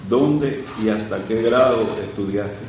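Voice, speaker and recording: A man's voice: lowish voice, a little slow, darkish tone. A man interviewing, asking short formal questions. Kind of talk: oral history interview. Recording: echoey room from far off, noisy.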